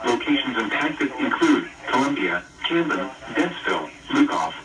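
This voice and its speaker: A synthetic man's voice of middling pitch delivers a formal emergency weather readout. The delivery is flat and list-like. It comes from a weather radio speaker, picked up from a distance. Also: moderate pace. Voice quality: synthetic text-to-speech voice. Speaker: automated weather radio announcer.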